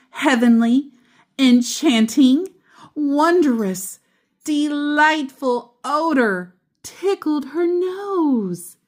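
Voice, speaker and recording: A woman reading a children's picture book aloud expressively as a storyteller, with deliberate, dramatic emphasis on descriptive words. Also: sits high, a little mellow.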